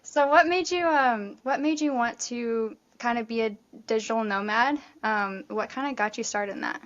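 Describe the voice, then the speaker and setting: Upbeat woman host, a bit high voice, kinda muffled audio, says like a lot. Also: normal speed, a bit bright. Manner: upbeat, chatty, says "like" often. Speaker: podcast host. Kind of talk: casual interview chat.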